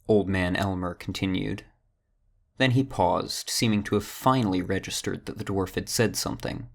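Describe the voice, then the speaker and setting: Deep, gravelly male narrator. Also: normal speed. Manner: steady storytelling, does all character voices. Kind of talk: audio drama narration.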